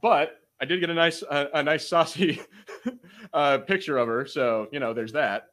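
A man co-hosting a podcast, telling stories in a casual, animated, self-deprecating way with some swearing. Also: fairly high pitch, moderate pace. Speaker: podcast co-host. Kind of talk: casual banter, storytelling.

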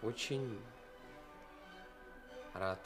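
An actor playing a nervous, self-mocking young stage actor in a radio play, theatrical and wry. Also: male voice, on the low side, drawn-out words, over music. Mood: shy, stiff. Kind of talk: 1980s Soviet radio play acting.

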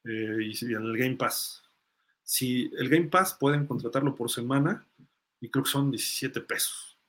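A man's voice: mid-range voice, a little slow, fairly bright tone. A man hosting a live sports fan podcast, chatting casually and thinking aloud as he gives his takes and answers listener comments.

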